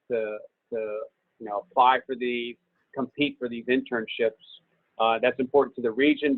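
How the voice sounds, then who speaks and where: A business owner answering questions on a video call in a relaxed, rambling way, with many fillers. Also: male voice, medium pitch, dry recording. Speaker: business owner and guest speaker. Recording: tinny phone-like call audio.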